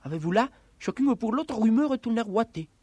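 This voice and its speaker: A man's voice: extremely high pitch, animated, a little fast, darkish tone, slightly rough, no echo. A man giving a dramatic, musical literary recitation in short, rhythmic, declaimed phrases. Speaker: reader of a literary text.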